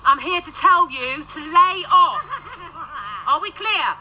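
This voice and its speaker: A woman's voice: very high voice, slow, heavily acted, poor audio. A woman with a British accent playing an irreverent, wisecracking ghost in a naturalistic radio drama. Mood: firm, flippant. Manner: flippant, sardonic, chatty. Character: irreverent, wisecracking female ghost sent to negotiate. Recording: loud ambient noise behind.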